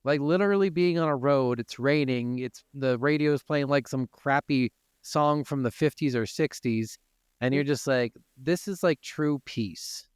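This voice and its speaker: Higher-voiced man, clear podcast host. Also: unhurried, no room sound, clean studio sound. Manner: informal, peppered with swearing. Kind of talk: casual gaming podcast talk with reading from notes.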